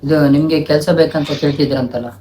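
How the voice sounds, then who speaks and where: A man's voice: a little high, steady tone, fast talker, a crowd in the background. A young man making casual prank phone calls, speaking informally and insistently. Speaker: prank caller, vlog co-host. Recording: loud, clear background noise.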